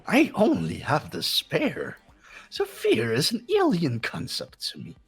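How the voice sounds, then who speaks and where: Taunting robot bear villain. Wildly swinging pitch, gravelly creak, theatrical glee. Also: male voice, wildly swooping pitch, a little slow, a bit bright, exaggerated voice. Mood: menacing. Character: mocking, gleefully evil robot bear mastermind. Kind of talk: amateur video game voice acting.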